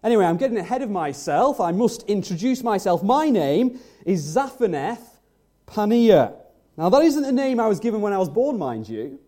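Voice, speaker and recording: A man's voice: high voice, very animated, moderate pace, mellow. A man in character as a humble biblical figure, telling his own story to a congregation in an earnest, confiding first-person monologue. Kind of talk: first-person dramatic monologue in a church service.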